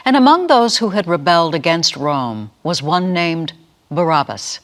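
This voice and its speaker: Scripture narrator, solemn and measured, mid-pitched, dramatic delivery. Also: very animated.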